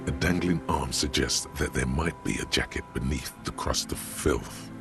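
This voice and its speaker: An actor voicing a grave, world-weary inner voice that narrates a detective's thoughts in slow, literary, ominous phrases. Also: male voice, music in the background. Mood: dry, grave. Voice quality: deep, gravelly. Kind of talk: scripted dramatic game narration.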